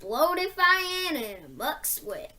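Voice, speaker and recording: A female reader as high-voiced, bumbling, polite giant. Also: very animated, slow pace, sharp tone, full character voice. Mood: polite, flustered. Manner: deferential, polite, flustered. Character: Giant Rubblebuffin, huge, humble, bumbling, good-natured male giant.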